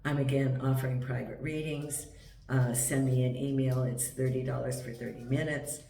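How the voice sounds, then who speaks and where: Croaky man reading tarot. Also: medium pitch, flat delivery, normal speed, dark tone, distant mic. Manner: chatty, meandering, with many ums. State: croaky from a cold. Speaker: tarot reader. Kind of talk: casual tarot reading to camera. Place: home in Portugal. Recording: echoey room, voice set back from the mic, faint hiss.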